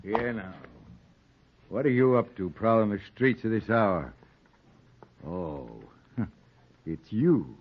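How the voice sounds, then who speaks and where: An actor playing a folksy but menacing, unhinged police sergeant in a 1940s radio crime play. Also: male voice, fairly low pitch, lively intonation, takes it slowly, pauses often, breathy edge, heavily acted. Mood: gruff, chuckling. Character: folksy male police sergeant, secretly a deranged strangler. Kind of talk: 1940s radio noir acting. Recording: dull dry 1940s radio recording.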